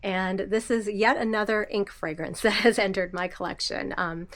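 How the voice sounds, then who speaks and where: A woman with a stuffy nose from a cold, reviewing perfumes in a soft, gushing, unhurried way with many fillers. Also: mid-range voice. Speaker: fragrance vlogger. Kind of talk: casual product review.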